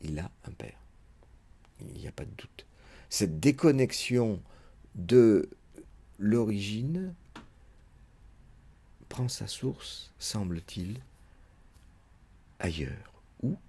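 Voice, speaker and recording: Low, dark, husky-voiced man lecturing. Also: sing-song, extremely slow, pauses often. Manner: hesitant, thinking aloud with many fillers. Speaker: psychoanalyst lecturing. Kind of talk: unscripted spoken lecture.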